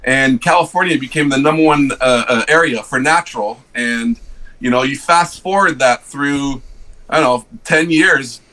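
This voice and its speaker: A man's voice: mid-range voice, moderate pace, bright, forceful tone, rough recording. A middle-aged man from Switzerland, a guest in a casual podcast chat, telling stories with many fillers and restarts. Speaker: cigar rep guest.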